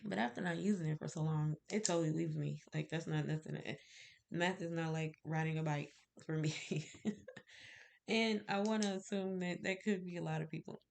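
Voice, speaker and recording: A woman's voice: low-pitched, fairly even intonation, normal speed, vocal fry, darkish tone. A woman with a stuffy cold, sounding nasal, chatting casually to camera about her candle-making and rambling with fillers and little laughs. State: stuffy, congested.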